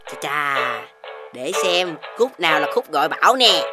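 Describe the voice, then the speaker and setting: A woman's voice: low voice, expressive, normal speed. A woman with a southern Vietnamese accent voicing a carefree, mischievous little piglet boy in a children's cartoon, full of exclamations. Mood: smug. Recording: music behind the voice.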